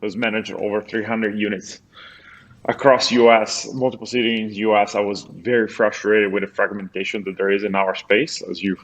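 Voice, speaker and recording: A man's voice: on the low side, little pitch movement, normal speed, poor audio. A man with an Italian accent, a company founder who is a guest on a podcast, speaking thoughtfully with many hesitations over a remote call. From Italy. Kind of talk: casual interview chat.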